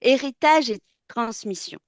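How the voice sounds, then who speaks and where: A woman from France, a textile artist giving a webinar presentation, speaking thoughtfully and often pausing with fillers and restarting words. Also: fairly high pitch, expressive, dry recording.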